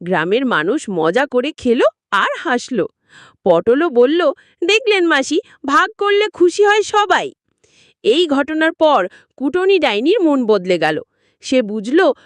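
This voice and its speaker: A woman's voice: high voice, sing-song, slow, no room sound. A woman narrating a scripted children's story as a storyteller.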